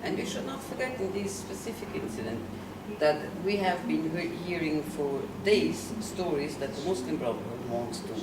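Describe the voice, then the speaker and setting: Low-voiced swiss woman making her case earnestly, far off in an echoey room, loud noise behind. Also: lively intonation, normal speed, hardly any pauses. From Switzerland. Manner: earnest, emphatic, argues point by point. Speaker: interviewee. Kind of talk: roundtable discussion.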